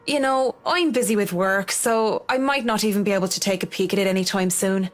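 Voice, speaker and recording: A woman's voice: mid-range voice, fairly quick, close to an everyday voice. An actress voicing a polite, obliging young professional woman in a video game. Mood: friendly, reassuring.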